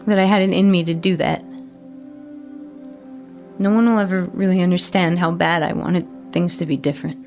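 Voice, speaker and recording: Troubled, remorseful woman, played by an actress, horror drama confession: plain-spoken, earnest, lower-pitched, gravelly. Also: fairly even intonation, moderate pace, long pauses, a little mellow, faint music behind, lightly acted. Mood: earnest, regretful. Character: troubled, remorseful woman struggling with addiction, pleading to be believed. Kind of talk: first-person horror audio drama monologue.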